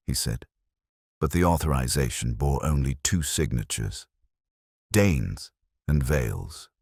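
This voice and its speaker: A man narrating a first-person detective story in a measured, literary, melancholy manner. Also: extremely low pitch, no echo, clean studio sound.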